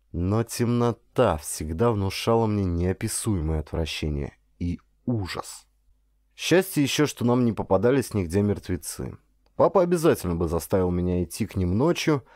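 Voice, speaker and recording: A man reading a first-person story as an audiobook narrator, in a dramatic, expressive way with varied intonation. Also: lowish voice, no echo, clean studio sound.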